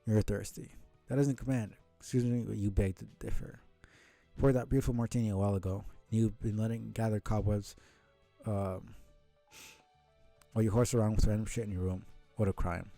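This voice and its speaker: A man streaming a casual read-aloud of a comic, with halting, muttered asides. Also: fairly low pitch, fairly even intonation, dark tone, no echo, soft background music. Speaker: streamer reading a webcomic aloud.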